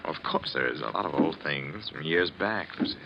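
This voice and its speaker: An actor playing a skeptical, impatient husband in a 1940s radio mystery, brusque and reassuring, with a stammer when rattled. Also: male voice, rumbling low, expressive, brisk, vocal fry, full character voice. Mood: subdued. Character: skeptical impatient husband dismissing talk of curses. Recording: muffled, noisy 1940s radio recording.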